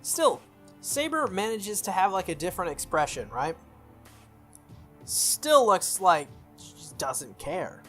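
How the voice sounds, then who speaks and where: A man's voice: sits high, big pitch swings, takes it slowly, a bit bright. A man hosting a visual novel playthrough, reading the text aloud with casual asides and stumbling over lines now and then. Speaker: video game playthrough host. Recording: music behind the voice. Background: game music.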